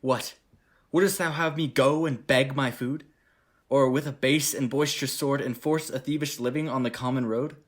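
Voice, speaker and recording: Man playing an earnest young gentleman, mid-pitched. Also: fairly even intonation, moderate pace, natural voice. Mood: questioning, indignant. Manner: earnest, declamatory. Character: young gentleman master, proud and warm-hearted. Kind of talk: Shakespearean radio play acting.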